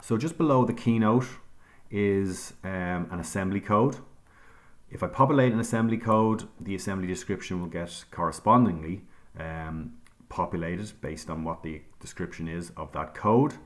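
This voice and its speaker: A man teaching a software tutorial over a screen recording, explaining each step calmly with frequent ums. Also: deep voice, normal speed. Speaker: instructor.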